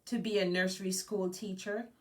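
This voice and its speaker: A woman reading a children's picture book aloud, phrasing deliberately and stressing key words. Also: medium pitch, steady tone, recorded from a distance. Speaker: guest storybook reader.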